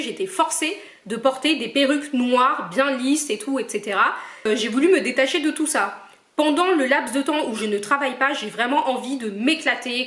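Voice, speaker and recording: Young woman, from Cameroon, vlogger, chatty, playful, fairly high voice, echoey room. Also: fairly bright tone. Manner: chatty and playful, reads out questions and teases viewers. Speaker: YouTuber answering viewer questions. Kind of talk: casual Q&A vlog.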